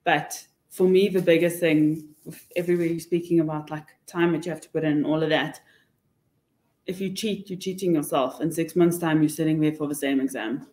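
A woman's voice: deep voice, fairly even intonation, fairly quick, warm tone. Young south african woman on a panel, candid n emphatic, echoey meeting room. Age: young adult. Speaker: webinar panelist. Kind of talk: panel discussion, casual advice. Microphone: table microphone.

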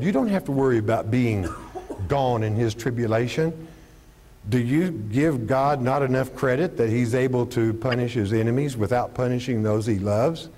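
An older man preaching on a studio stage, teaching a televised Bible study with a lapel mic, asking himself questions and answering them. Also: medium pitch. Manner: animated, gestures constantly while teaching. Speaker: pastor. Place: studio stage with podium and curtain.

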